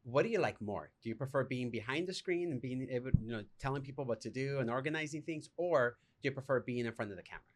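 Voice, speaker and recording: A man's voice: medium pitch, fast, few pauses. Upbeat male podcast host. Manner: upbeat, rambling questions. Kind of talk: casual podcast interview.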